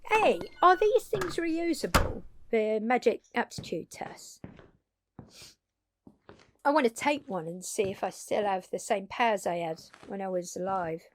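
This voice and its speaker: A woman playing a brash, excitable undead vampire in an audio drama, talking casually and expressively straight to the listener with salty language and dramatic pauses. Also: medium pitch, slight background noise, close to an everyday voice. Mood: casual, upbeat. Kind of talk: intimate audio drama roleplay addressed to listener.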